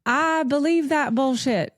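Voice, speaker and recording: A woman's voice: sits high, measured pace, no room sound, studio quality. A woman from Montreal with a Canadian accent, hosting a casual advice talk in a blunt, sweary, emphatic manner. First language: English. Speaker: podcast host.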